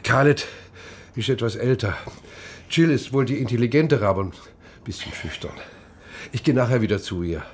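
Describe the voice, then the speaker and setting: An actor playing a worried boyfriend in a radio play, talking casually and confidingly, a little evasive. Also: male voice, mid-range voice, normal speed, long pauses, slight background noise, close to an everyday voice.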